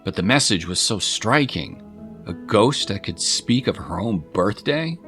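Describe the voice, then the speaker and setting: A male narrator delivers a scripted dramatic story. His voice is low, and quiet music plays beneath the storytelling. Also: lively intonation, unhurried.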